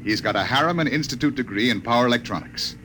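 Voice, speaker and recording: An actor playing a gruff, impatient spaceship captain who talks in brusque commands in an old-time radio drama. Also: male voice, medium pitch, lively intonation, quick pace, natural voice. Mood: irritated, scornful. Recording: very noisy vintage radio recording.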